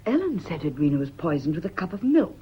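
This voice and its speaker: A woman playing an anguished, bitter lady companion in a radio drama, speaking gravely in clipped, dramatic phrases. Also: low-pitched, big pitch swings, quick pace, heavily acted. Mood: distraught. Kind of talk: vintage British radio drama acting. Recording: dull, noisy old radio recording.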